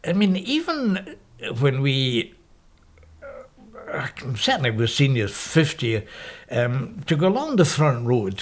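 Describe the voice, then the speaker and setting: A man in his seventies with a Scottish accent, recalling his school days in a reflective, wry interview, often correcting himself mid-sentence. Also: mid-range voice. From Scotland. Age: 70s. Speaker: interviewee, professor. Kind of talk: oral history interview, reminiscing. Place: parish house room, indoors.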